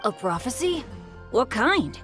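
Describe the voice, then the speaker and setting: A woman's voice: on the high side, sing-song, slow pace, music in the background. A woman voicing an upbeat, modest teenage boy adventurer who talks in an informal, eager way in a video game. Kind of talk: video game story dialogue.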